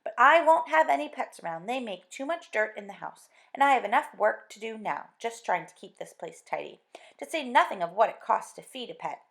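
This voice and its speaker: Woman voices a cross, penny-pinching wife; sharp, complaining, gravelly edge. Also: medium pitch, big pitch swings, brisk. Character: cross thrifty middle-aged housewife.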